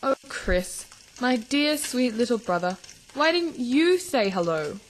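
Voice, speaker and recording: A woman playing a caring, teasing teenage older sister in a radio drama, gently probing and reassuring. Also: a little high, very animated, takes it slowly, low-quality recording. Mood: gentle, probing. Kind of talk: radio drama acting for English learners. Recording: clear background noise of sizzling cooking. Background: frying and stirring kitchen sounds.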